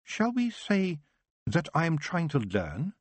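A man's voice: on the high side, wildly swooping pitch, takes it slowly, no echo, pitched above the performer's own voice, heavily acted. Man voicing a cold emotionless elf woman. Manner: cool, detached, probing.

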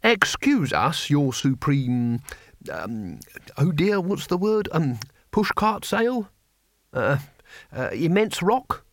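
Man as a courteous, bumbling, halting old scholar. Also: on the high side, sing-song, no room sound, exaggerated voice. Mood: apologetic. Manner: halting, word-searching, overly polite. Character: courteous, bumbling goat-faced old man, stumbling foreign speaker.

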